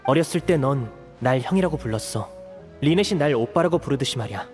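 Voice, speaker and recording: An actor playing a protective, earnest young man in a video game, speaking in a measured, resolute way. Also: medium pitch, animated, darkish tone, in a large hall, faint music behind, close to an everyday voice. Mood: pained, serious. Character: protective, earnest young elder brother figure, raised an orphan.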